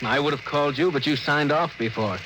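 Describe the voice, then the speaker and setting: A man's voice: fairly high pitch, fairly quick, natural voice. A man playing an earnest, anxious junior starship officer in a 1950s radio drama, deferential and sincere. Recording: noisy old 1950s radio recording.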